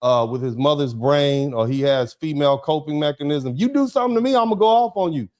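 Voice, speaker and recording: A man's voice: on the high side, a little fast, a little mellow. A man hosting a casual talk show, speaking emphatically and often repeating his words as he drives home a point. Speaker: host. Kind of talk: casual commentary chat.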